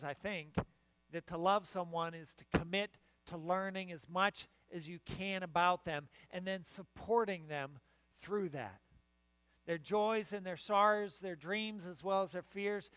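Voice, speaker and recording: A man's voice: sits high, a little slow, fairly bright tone, clean tone, no echo. An older man, a pastor, preaching a sermon in a church sanctuary and often restarting his phrases. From United States. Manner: preaching while standing and gesturing, with frequent restarts. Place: church sanctuary in front of the altar.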